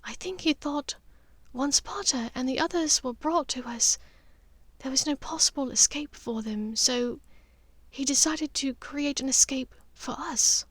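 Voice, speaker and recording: A woman voicing a composed, cunning middle-aged aristocratic mother in an audiobook, speaking in a measured, hushed, deliberate way. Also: high voice, no room sound, pitched above the performer's own voice. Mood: hushed, grave.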